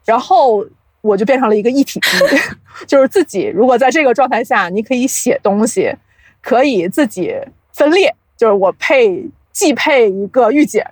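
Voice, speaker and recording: A woman's voice: a little high, a little mellow, husky, no room sound. Woman chatting through an explanation, dry close sound. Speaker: podcast guest, audio drama producer. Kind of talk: casual interview explanation.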